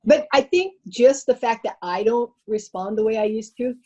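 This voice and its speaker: Husky older woman on a muffled call. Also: a little high, moderate pace, warm tone. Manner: meandering, chatty, trailing off. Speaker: participant. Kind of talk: casual self-introduction. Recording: dull muffled video call audio.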